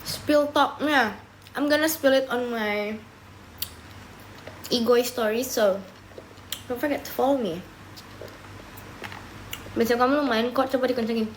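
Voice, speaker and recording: Young woman streamer, relaxed and chatty, drifts between languages while snacking, pitched a bit high. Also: measured pace, long pauses, a little hiss or hum, low-quality recording. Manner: chatty, often switching into English mid-sentence; talks while eating and sipping a drink. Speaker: live streamer. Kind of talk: casual livestream chat answering viewer comments.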